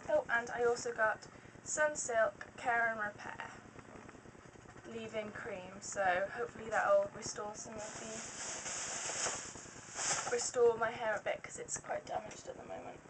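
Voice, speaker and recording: A young woman talks casually to a webcam in a small room, her fairly high voice slightly croaky and full of ums as she rambles along. The audio is noisy and a little distant from the mic. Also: measured pace. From Wales. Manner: chatty and rambling, many ums. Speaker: beauty vlogger. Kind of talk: casual haul video. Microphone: webcam at close range.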